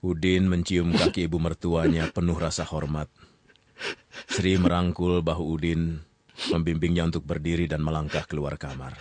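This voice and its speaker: A man narrating a radio story in a calm, measured way, with casual asides to the listener. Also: sits low, no echo.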